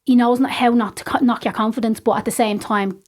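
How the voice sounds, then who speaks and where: A Dublin woman, a singer, chatting as a podcast guest in an animated way, with a high voice. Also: quick pace. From Ireland. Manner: chatty, animated, says "like" and "do you know what I mean" often. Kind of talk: casual conversation.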